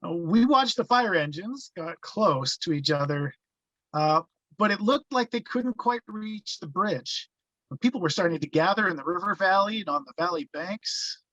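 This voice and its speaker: Man as wry narrator, bright voice, dull recording. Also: sits high, expressive, normal speed, dry recording. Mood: reminiscent. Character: grown man recalling his boyhood, wry narrator. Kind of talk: first-person scripted narration.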